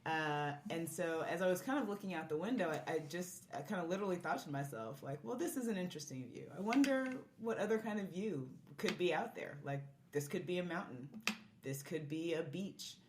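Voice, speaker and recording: A woman's voice: on the low side, animated, fairly quick. A woman speaking as a podcast guest, telling her story in a casual, conversational way. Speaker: podcast guest, marriage and family therapist. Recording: echoey room, faint background noise.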